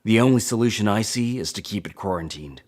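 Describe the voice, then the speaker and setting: A cautious, doubting male crew officer in a sci-fi audio drama. He has a fairly low voice and challenges things bluntly. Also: expressive, fast talker. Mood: skeptical.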